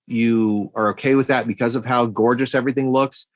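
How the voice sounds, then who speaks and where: A man's voice: mid-range voice, steady tone, fairly quick, no room sound. A man co-hosting a podcast, talking casually as he discusses and reviews films. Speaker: podcast co-host. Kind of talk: casual movie review chat.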